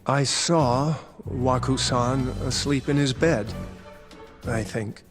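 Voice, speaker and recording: A man voicing an uneasy, hesitant witness in a video game courtroom drama. His voice is mid-pitched, with music behind it. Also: takes it slowly, close to an everyday voice. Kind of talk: English dub cutscene acting.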